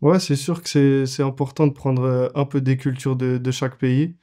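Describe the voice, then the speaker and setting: A man's voice: medium pitch, little pitch movement, moderate pace, warm tone. A man in his twenties, a goalkeeper answering interview questions casually, with many fillers and restarts. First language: French. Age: 20s.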